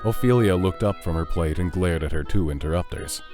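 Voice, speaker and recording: Narrator, male: low-pitched, scripted audio drama storytelling with soft music underneath. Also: a little fast.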